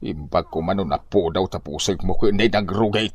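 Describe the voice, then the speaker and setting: A man's voice: sits low, brisk, no room sound. A man playing a grumbling, put-upon old Filipino husband with an Ilocano accent in a broad comic drama. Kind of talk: broad comic radio-style drama acting.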